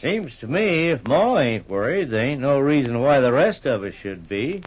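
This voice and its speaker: Folksy country old-timer, family friend, colloquial, good-natured, middle pitch, dull 1950s radio sound. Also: male voice, big pitch swings, moderate pace, breathy edge. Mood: concerned. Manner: homespun, colloquial storytelling. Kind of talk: 1950s radio soap opera acting. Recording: muffled 1950s radio recording.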